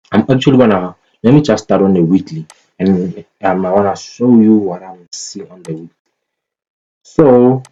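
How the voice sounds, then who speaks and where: Slow-talking, low-voiced man, dull recording. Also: warm tone. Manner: hesitant, thinking aloud, repeats phrases. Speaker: market analyst presenting chart analysis. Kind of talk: casual unscripted screen-share commentary. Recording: dull lo-fi microphone sound.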